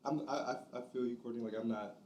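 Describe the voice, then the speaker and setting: A man's voice: medium pitch, quick pace, darkish tone, echoey room, a little hiss or hum. A man in his twenties, a dinner guest, rambles with many "you know"s. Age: 20s. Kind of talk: casual dinner-table chat. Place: dining room at a dinner table.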